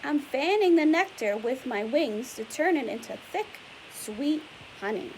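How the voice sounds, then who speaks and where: High-voiced woman reading aloud to children, hissy recording. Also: lively intonation, a little slow, a little mellow, low-quality recording. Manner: patient, explanatory, with questions to the listener. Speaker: teacher reading a picture book aloud to children. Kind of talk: read-aloud with simple explanations for young children. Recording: clear background hiss and noise.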